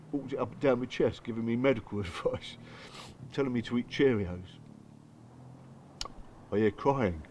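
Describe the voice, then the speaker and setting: A man's voice: mid-range voice, a little hiss or hum, lightly acted. An actor with a working-class English accent playing a protective, wounded father in a naturalistic audio drama, speaking in a colloquial, emotional way. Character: protective, wounded father recounting abuse by his wife.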